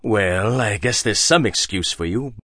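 Audiobook role: cold ship captain, played by a man, low, hushed, measured menace, lively swings. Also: no echo, heavily acted. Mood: scolding. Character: stern commanding male ship captain, cold, manipulative. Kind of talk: audiobook character voice.

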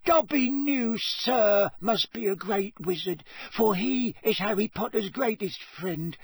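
A man voicing a small, anxious, adoring house-elf servant with a squeaky voice and servile, broken speech for an audiobook. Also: slow pace, breathy edge, no echo, full character voice. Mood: overwhelmed, adoring. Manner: servile third-person speech with broken grammar. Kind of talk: audiobook character voice.

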